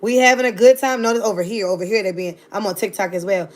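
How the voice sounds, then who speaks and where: A woman's voice: mid-range voice, steady tone, fast. A woman in her thirties from Virginia, chatting sassily and playfully with viewers on a live stream. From Virginia, United States. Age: 30s. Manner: sassy, playful, talks straight to her chat. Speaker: livestreamer.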